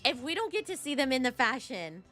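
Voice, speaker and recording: A woman giving casual live commentary on a game playthrough, playful and excitable. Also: sits high, a little fast, soft background music. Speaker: game streamer.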